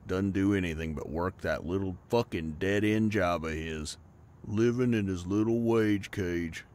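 A man's voice: low voice, measured pace, a little mellow, a little hiss or hum. A man playing a crude young bully in an audio drama, mocking and swearing. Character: sneering, crude young male bully sidekick. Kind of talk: audio roleplay acting.

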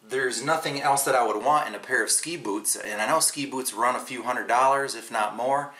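A man's voice: mid-range voice, normal speed, bright, forceful tone. A man in his forties chatting casually in a how-to vlog, upbeat and full of ums, recorded by a camera across a desk in a small room. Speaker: vlogger.

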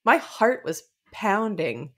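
A woman in her thirties talks books as a podcast co-host. Her pitch is average and her delivery is casual and expressive, full of "like" and acted-out reactions. She is recorded on a close mic at home. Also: slow pace. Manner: expressive, frequent "like", acts out reactions. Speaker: book club podcast co-host. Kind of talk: casual chatty book discussion. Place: indoors, home recording setup.

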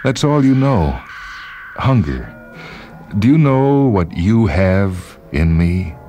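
A man's voice: deep voice, sing-song, slow pace, dark tone, faint music behind, pitched above the performer's own voice, exaggerated voice. A man voicing a sorrowful, accusing conjured man in a short-story reading, with a dramatic, wounded delivery. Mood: bitter, wounded.

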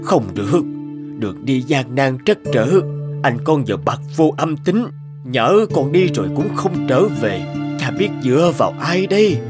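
A man voicing a loving old king in a cartoon fairy tale, speaking slowly and gravely. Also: mid-range voice, lively intonation, music in the background, close to an everyday voice. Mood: worried. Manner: slow, grave.

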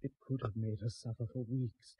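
An actor playing a grave, gentle medieval companion at a deathbed in a radio drama. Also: male voice, medium pitch, level tone, moderate pace, breathy edge, no echo. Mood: grave comfort. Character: solemn medieval companion comforting a dying friend. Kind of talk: 1970s British radio drama acting. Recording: dull, muffled old radio recording.

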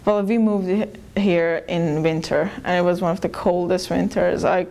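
A young woman from Iran, interviewed in a small plain room on a lapel mic, telling her story in a thoughtful, soft-spoken way with some restarts. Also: lowish voice, steady tone, normal speed. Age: young adult. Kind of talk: personal account.

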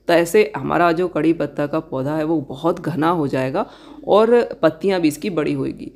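A woman giving a casual tour of her balcony garden outdoors, gently pointing out plants and giving tips to viewers. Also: deep voice. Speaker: garden vlogger.

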